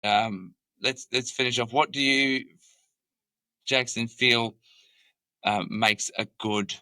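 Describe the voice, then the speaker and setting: Bright-voiced male podcast host. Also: medium pitch, steady tone, slow pace, no room sound. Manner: coaching, gives advice. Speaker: podcast host, company boss. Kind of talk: casual podcast chat.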